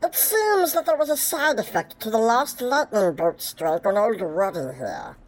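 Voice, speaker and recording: A woman's voice: on the high side, very animated, measured pace. A woman playing a snide British badger. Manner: condescending, insulting. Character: sassy snobbish male badger. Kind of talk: comedy audio drama acting.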